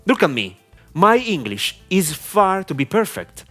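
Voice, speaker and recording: Italian guy explaining stuff to camera, pitch bouncing all over, soft music under it. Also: big pitch swings. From Italy. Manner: deliberate, clear teaching delivery with frequent "uh" fillers. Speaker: online marketing mentor. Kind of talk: instructional talk to camera.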